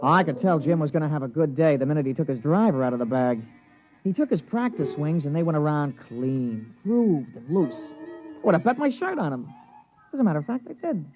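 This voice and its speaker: A man's voice: fairly high pitch, big pitch swings, brisk. A man playing a folksy, eager golf caddy in a 1950s radio drama, telling the tale in slangy, colloquial speech. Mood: wry storytelling. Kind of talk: 1950s radio drama acting, narration and dialogue. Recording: muffled vintage 1950s radio recording, music behind.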